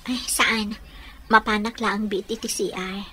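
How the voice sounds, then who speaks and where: A woman's voice: mid-range voice, steady tone, unhurried, bright, forceful tone, a little hiss or hum. An actress with an Ilocano accent playing a plaintive young mother in a radio comedy drama. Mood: mild. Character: young pregnant wife and daughter, plaintive.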